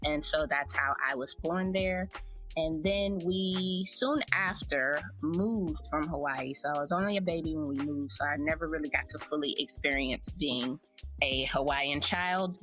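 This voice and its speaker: A woman in her thirties, a podcast host, telling her life story in a relaxed, chatty, reflective way. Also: sits low, a little slow, soft background music. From United States. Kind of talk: casual personal storytelling.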